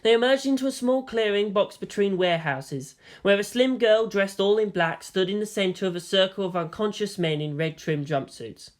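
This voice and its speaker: Voice reading fan fiction aloud, steady even storytelling, medium pitch. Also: normal speed, hardly any pauses. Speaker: narrator.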